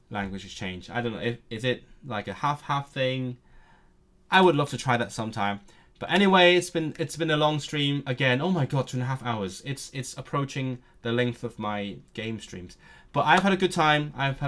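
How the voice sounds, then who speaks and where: A man's voice: medium pitch, fairly bright tone. Eager, stumbling male streamer. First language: Cantonese. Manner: eager and nerdy, often stumbling and restarting words. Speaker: streamer and language learner. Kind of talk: casual live-stream chat.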